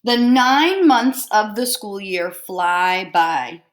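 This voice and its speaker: A woman teacher reading a picture book aloud to children, expressively and deliberately, pausing to put simple questions to them. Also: medium pitch, lively intonation. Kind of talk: storybook read-aloud with questions to young listeners.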